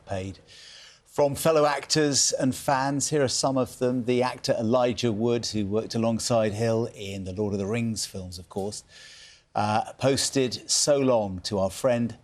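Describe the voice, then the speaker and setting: Low-pitched British male news presenter. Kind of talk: broadcast news presenting, reading tributes. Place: TV studio.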